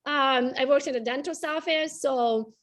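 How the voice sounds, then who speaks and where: A woman's voice: sits high, a little slow, fairly bright tone. A woman in her forties from Romania with a Romanian accent, chatting as an interview guest, laughing often and tagging her points with "right?". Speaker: guest, realtor and coach. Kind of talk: casual interview chat.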